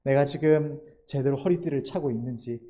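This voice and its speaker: A man's voice: medium pitch, normal speed, dark tone. A man preaching a formal, scripted sermon in an earnest, emphatic manner, letting his sentence endings fall.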